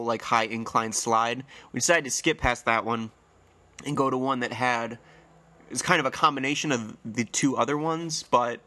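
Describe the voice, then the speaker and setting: A man in his thirties hosts a casual solo podcast with a congested, slightly nasal and hoarse voice. His tone is bright, and he tells stories in a rambling, friendly way with plenty of fillers. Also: mid-range voice. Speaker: podcast host.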